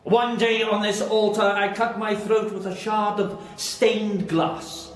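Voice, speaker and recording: A man's voice: sits high, level tone, slow pace. A man playing a grim, ghostly seventeenth-century minister in an audio drama, formal, solemn and declamatory. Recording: echoing space, faint noise.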